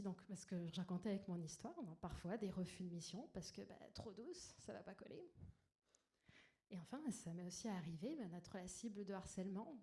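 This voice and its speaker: A woman with a soft, gentle voice, speaking to an audience in a personal, lightly self-deprecating conference talk. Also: mid-range voice. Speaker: conference speaker, agile coach. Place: indoor conference room. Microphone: stage microphone. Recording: noisy live microphone in a talk room.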